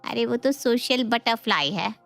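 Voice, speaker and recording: A sharp-witted young sister-in-law from a family storybook, as a woman acts her out. She has a highish voice and explains things in a knowing, pointed manner. Music runs behind the speech. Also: lively intonation, measured pace, creaky, full character voice. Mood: knowing, gossipy. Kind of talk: dramatised Hindi family storybook.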